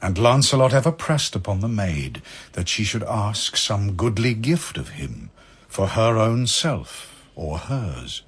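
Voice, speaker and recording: A man narrating verse as a dramatic storyteller, in a measured, solemn, literary manner. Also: lowish voice, very animated, husky.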